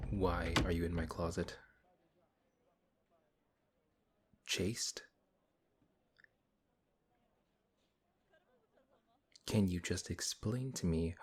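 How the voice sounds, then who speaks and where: Fantasy audio drama: male actor as exasperated good-hearted paladin, big and husky, deep register, unhurried delivery. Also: slight background noise. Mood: startled, bewildered. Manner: flustered, questioning, sighing. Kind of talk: audio roleplay, fantasy acting.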